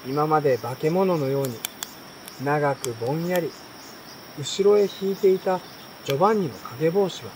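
A man reading a children's story aloud in a relaxed, unhurried way, pausing between short phrases. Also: fairly high pitch. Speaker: reader. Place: outdoors at night by a campfire at a campsite. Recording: clear insect and outdoor night noise. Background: insects, stream, campfire.

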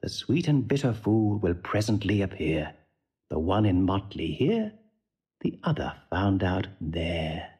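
An actor playing an impudent, riddling court jester in a Shakespearean audio drama, with a playful, mocking delivery. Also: male voice, low voice, big pitch swings, a little slow, mellow, husky, exaggerated voice. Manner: mocking, sing-song, playful.

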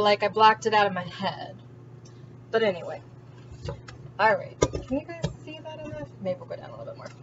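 A woman with a fairly high voice chats casually through her cooking in a home kitchen, thinking aloud as she goes. She is some way from the camera's mic, and there is clear, heavy noise behind her. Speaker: home cook hosting a cooking vlog. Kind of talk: casual chat while cooking. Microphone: camera on the counter, at a distance. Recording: distant, dull sound with loud background noise.

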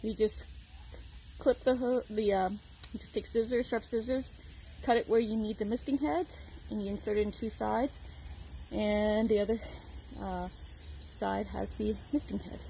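A woman hosting a casual how-to walkthrough outdoors in her backyard, filming on a handheld phone, upbeat and chatty, with fillers and pauses. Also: mid-range voice, steady tone, gravelly, warm tone, a little hiss or hum, rough recording. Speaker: channel host. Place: outdoors in a backyard chicken run.

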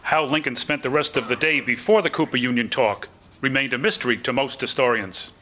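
An older man plays a knowing newspaper reporter of the 1800s in a staged reading. His voice is bright and forward as he narrates his own account into a stage microphone. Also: mid-range voice, fairly quick, slight background noise, lightly acted. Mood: knowing, assured. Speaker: amateur actor reading a historical part. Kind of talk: scripted first-person narration at a staged reading. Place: auditorium stage before an audience. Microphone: microphone on a stand.

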